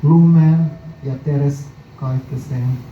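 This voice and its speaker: A man reading his own poem at a live indoor performance, deliberately and in short phrases with frequent pauses. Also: on the high side, fairly even intonation, reverberant. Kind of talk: poetry recital, performance reading. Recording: muffled, noisy live recording from far off.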